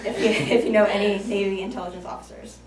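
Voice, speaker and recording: A woman's voice: mid-range voice, steady tone, fast, crowd noise. A woman in her late twenties giving a prepared, self-deprecating speech with light jokes at a speaking club. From United States. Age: 20s. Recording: echoey room from far off.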